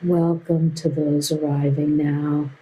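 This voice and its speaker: A woman leads a guided meditation as a teacher. Her voice is low and soft-edged, with a dark, relaxed tone. She speaks very slowly and gently, leaving long pauses between short phrases. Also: highs cut off.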